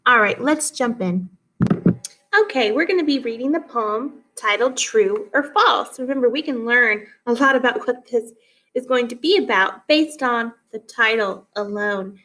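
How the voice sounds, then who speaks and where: A woman's voice: on the high side, unhurried, sharp tone. A woman teacher leading a read-aloud lesson for children, explaining and guiding listeners in an instructive way. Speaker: teacher reading poems aloud for pupils.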